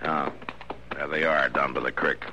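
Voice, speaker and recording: An actor playing a firm, level-headed American town marshal, terse and authoritative, in a 1950s radio western. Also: male voice, extremely low pitch, steady tone, moderate pace. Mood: patient, dry. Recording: noisy old radio broadcast recording.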